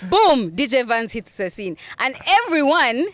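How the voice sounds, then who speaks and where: A woman's voice: high-pitched, sing-song, measured pace, fairly bright tone. A young woman from Uganda with a Runyankore-tinged Ugandan accent, hosting a podcast interview in a playful, teasing way and laughing often. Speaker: podcast host.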